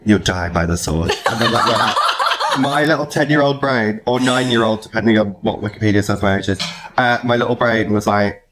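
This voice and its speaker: A man's voice: fairly low pitch, brisk, background noise. A man in his thirties hosting a podcast, telling stories in a jokey, informal, gossipy way. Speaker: podcast host. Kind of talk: casual chat interview, animated storytelling.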